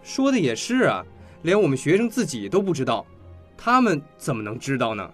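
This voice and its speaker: An actor playing a cynical teenage high school boy in a radio drama, mocking and flippant. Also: big pitch swings, fairly quick, a bit bright, faint music behind. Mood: flippant, scornful.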